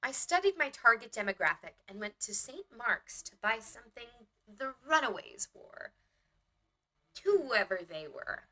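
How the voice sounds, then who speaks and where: A woman's voice: on the high side, very animated, moderate pace, sharp tone, poor audio. A woman playing a naive, eager small-town young woman in a motion comic, telling her story in a chatty, wry diary style. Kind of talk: motion comic narration and dialogue.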